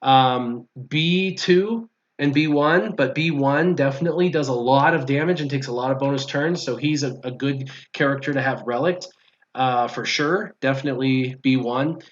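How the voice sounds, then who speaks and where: A man's voice: medium pitch, normal speed. A man chatting casually as a guest on a gaming stream, explaining things step by step as he answers viewers' questions. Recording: dull lo-fi stream audio.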